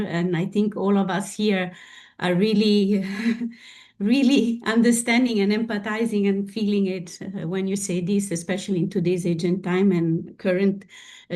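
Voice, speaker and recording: A woman's voice: mid-range voice, mellow. A woman moderating an online webinar, introducing speakers with frequent hesitations and filler sounds. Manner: hesitant, many filler pauses. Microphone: video call.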